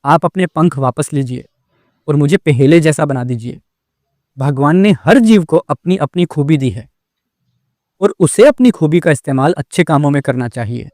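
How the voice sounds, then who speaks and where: A man plays a remorseful young cartoon deer, earnest and apologetic. The voice is a little high for a male and recorded very dry. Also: expressive, moderate pace, darkish tone, dry recording, close to an everyday voice. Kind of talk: Hindi cartoon dubbing.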